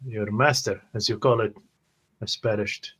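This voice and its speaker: Slow, hesitant male gamer. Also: fairly low pitch, steady tone, a bit bright, slightly rough. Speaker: tabletop game player.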